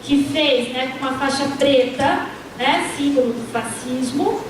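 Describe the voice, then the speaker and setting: A woman's voice: sits high, measured pace. A woman lecturer giving an academic panel talk, tagging her sentences with a questioning "né" and restarting words mid-way. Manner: frequent tag "né", restarts mid-word. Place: university lecture room. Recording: distant, echoey lecture hall with noise.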